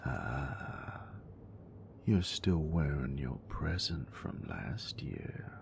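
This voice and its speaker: Very deep, gravelly cocky bully boyfriend, acted by a man. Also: full character voice. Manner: teasing, slangy, murmuring, often dropping toward a whisper. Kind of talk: intimate romantic audio roleplay monologue. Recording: noticeable background noise.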